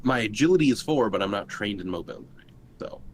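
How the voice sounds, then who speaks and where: A man's voice: lowish voice, expressive, quick pace, a little hiss or hum. A man playing a tabletop role-playing game, chatting casually and jokingly with the group.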